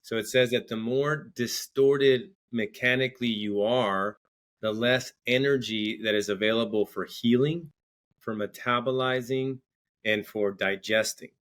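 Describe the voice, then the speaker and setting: A man's voice: medium pitch, fairly even intonation, measured pace, fairly bright tone. A man speaking as a podcast guest, explaining things conversationally and often repeating words as he goes. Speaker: podcast guest, entrepreneur and coach.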